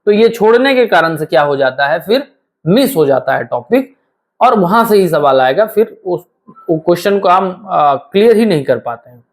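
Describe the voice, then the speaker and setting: Hoarse man teaching an online class. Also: high voice, animated. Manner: explains patiently, repeats key phrases slowly, addresses students directly. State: hoarse, sore throat. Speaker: online exam coaching teacher. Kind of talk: online lecture with course promotion.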